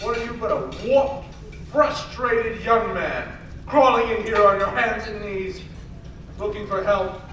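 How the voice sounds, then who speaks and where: Bitter old male banker villain, acted by a man, high forceful voice, radio-play menace, echoey distant hall. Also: measured pace, slight background noise. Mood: scornful. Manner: scornful, taunting. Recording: echoey hall, distant mic, faint music.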